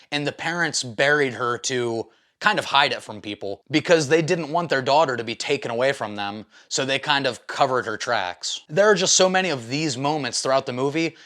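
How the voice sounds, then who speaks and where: A man's voice: medium pitch, bright, forceful tone. A man hosting a casual film review, talking in an informal, enthusiastic, slangy and opinionated way. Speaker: movie reviewer, video host.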